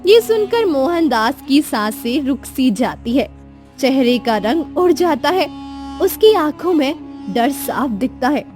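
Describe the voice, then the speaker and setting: Slow, high-voiced woman narrator. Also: big pitch swings, a little mellow, faint music behind. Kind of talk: scripted story narration.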